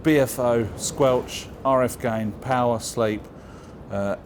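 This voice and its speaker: A man's voice: medium pitch, fairly even intonation, slow pace, background noise. A man presenting his own video in a chatty, informal, plain-spoken way, explaining things casually to camera. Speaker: video channel host.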